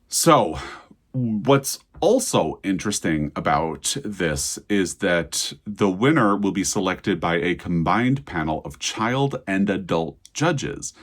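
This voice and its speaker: Guy with a pretty low voice doing casual video commentary, chatty and into it. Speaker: host of a book news video channel.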